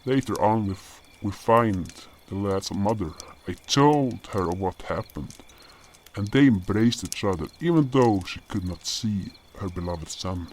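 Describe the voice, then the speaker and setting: A man playing an old, gentle spirit guardian, earnestly telling his tales in an audio drama, in a measured, solemn way. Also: fairly low pitch, lively intonation. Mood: solemn, wistful. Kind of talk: audio drama first-person narration and dialogue. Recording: noisy track with cricket ambience. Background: crickets and outdoor night ambience.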